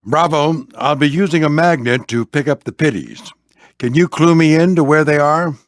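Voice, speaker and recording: An older man voicing a veteran warplane skipper in a video game, a gruff, fatherly flight instructor with folksy, military phrasing. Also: on the high side, normal speed, no room sound, clean studio sound, lightly acted. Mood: encouraging, folksy. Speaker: squadron skipper and mentor. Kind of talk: animated video game character voice lines.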